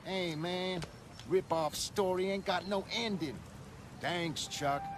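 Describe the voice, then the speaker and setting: A man's voice: high-pitched, slow pace, background noise, voice pushed up. A man voicing a young, streetwise, grumbling comic-book reader in a motion comic. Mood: disgruntled. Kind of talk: motion comic dramatic acting.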